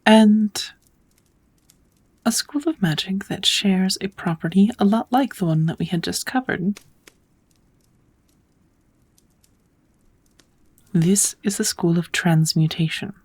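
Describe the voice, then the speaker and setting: A woman playing a kind witch who patiently teaches magic in a soft, often whispered ASMR roleplay voice. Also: mid-range voice, slightly rough. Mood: gentle, explaining. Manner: patient, encouraging, unhurried teaching. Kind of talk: ASMR roleplay, whispered lesson to one listener.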